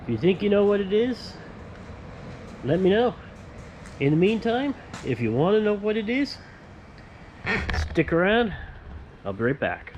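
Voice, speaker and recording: Casual male tradesman presenter, slightly high-pitched, plain step-by-step delivery, background workshop noise. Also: sing-song, unhurried, pauses often, darkish tone, lo-fi sound. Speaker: host, powder coating tradesman.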